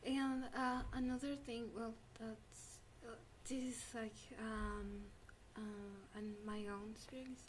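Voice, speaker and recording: Young female student, Spanish first language; unhurried, halting answers, faint room noise. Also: on the high side, fairly even intonation, rough recording. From Spanish-speaking country. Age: young adult. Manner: hesitant, many fillers and restarts. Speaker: student guest. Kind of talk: interview answers.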